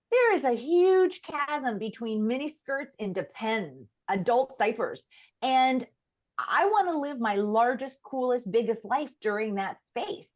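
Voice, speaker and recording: Animated woman in her fifties. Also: high-pitched, highs cut off. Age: 50s. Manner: animated and emphatic, quick to laugh. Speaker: guest. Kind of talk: casual podcast conversation.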